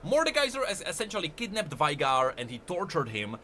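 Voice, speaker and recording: A man with a fairly high, forceful voice gives informal lore commentary. He is animated and halting, working out his thoughts as he goes. There is faint noise in the background. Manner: animated, halting, thinks aloud.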